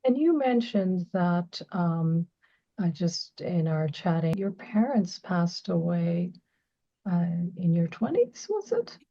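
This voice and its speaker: A woman's voice: lowish voice, very animated, takes it slowly, warm tone. Canadian-accented woman host, dull audio. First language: Bengali. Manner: encouraging, frequent mm-hmms. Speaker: podcast host. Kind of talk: conversational interviewing.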